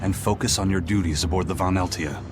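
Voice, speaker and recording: An actor playing a grim, aloof pirate first mate in a video game, speaking in a curt, stoic, dry manner. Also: male voice, deep voice, fast talker, natural voice. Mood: cold, resolute. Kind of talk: English video game dub acting. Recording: loud music behind voice.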